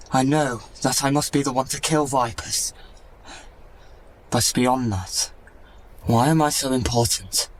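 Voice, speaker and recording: A man's voice: medium pitch, slow, pauses often. A man playing an anguished, doubting young hero in a fantasy audio drama, earnest and emotional. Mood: confused. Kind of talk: fan audio drama acting.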